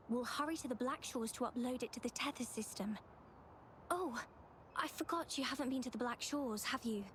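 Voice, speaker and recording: Actress as composed, high-voiced young woman guide. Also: a little hiss or hum, close to an everyday voice. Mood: gentle, friendly. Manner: measured, reassuring. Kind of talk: video game voice acting. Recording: game audio with faint background ambience.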